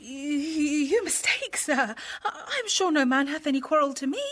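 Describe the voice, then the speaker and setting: A woman's voice: high voice, animated, moderate pace, a bit bright. An actress playing an earnest, timid young woman disguised as a page, speaking politely and hesitantly in a Shakespearean radio drama. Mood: alarmed, fearful.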